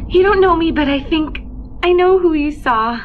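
A woman's voice: very high voice, lively intonation, moderate pace, a little hiss or hum. An actress playing a chirpy woman phoning in to a show in an audio drama, on a phone line. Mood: eager. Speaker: caller. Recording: dull phone-line sound, music behind.

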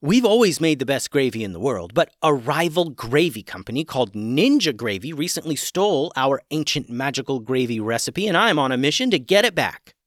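A man's voice: medium pitch, big pitch swings, brisk, few pauses, a bit bright, no echo, studio quality. A man voicing an earnest young boy who stammers, in a storybook reading. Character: eager young boy, earnest, easily amazed.

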